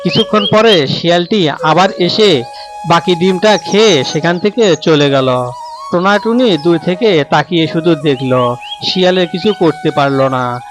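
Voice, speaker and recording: A man's voice: fairly high pitch, normal speed, soft background music. A man narrating a scripted children's story as the storyteller.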